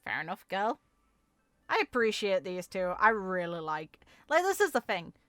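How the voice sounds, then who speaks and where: A woman's voice: mid-range voice, very animated, moderate pace, a bit bright, dry recording, studio quality. A woman giving casual, informal reaction commentary as a game content creator, musing aloud as she goes.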